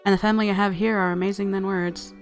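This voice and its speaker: A woman's voice: medium pitch, flat delivery, quick pace, soft background music. A woman narrating a visual novel aloud as a let's-player, mixing story reading with casual commentary.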